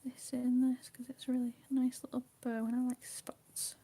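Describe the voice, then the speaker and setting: A woman chatting casually with her viewers while she colours, speaking softly, often half in a whisper and a little hesitant. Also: high-pitched, level tone, normal speed, breathy edge. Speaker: livestream host.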